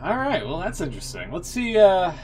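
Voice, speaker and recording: A middle-aged man giving casual game livestream commentary, musing and halting, with some swearing. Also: high-pitched, wildly swooping pitch, a little mellow. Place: indoor streaming setup. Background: music.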